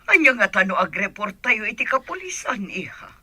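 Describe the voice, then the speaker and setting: Husky, piercing older mother. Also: medium pitch, very animated, moderate pace, pushed and bright, dry recording, exaggerated voice. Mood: concerned. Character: concerned older mother of a grown daughter. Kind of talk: melodramatic radio drama acting.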